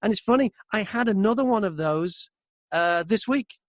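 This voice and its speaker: A man's voice: high-pitched, expressive, moderate pace, no room sound. A man who narrates audiobooks, chatting casually as an interview guest and acting out his thoughts and messages as he tells stories.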